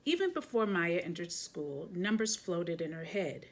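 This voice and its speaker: A woman with a fairly low voice hosts a read-aloud for children. She moves between casual chat and expressive picture-book narration, adding her own chatty asides as she goes. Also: moderate pace.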